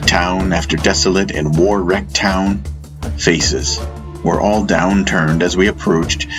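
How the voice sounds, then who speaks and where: A man narrating a fantasy audiobook as dramatic first-person storytelling, in a solemn, measured manner. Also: deep voice.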